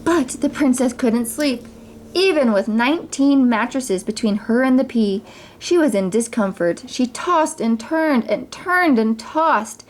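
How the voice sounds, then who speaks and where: A woman reading a children's story aloud as narrator, in an expressive, dramatized way. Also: on the high side, measured pace. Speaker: mother reading stories for children.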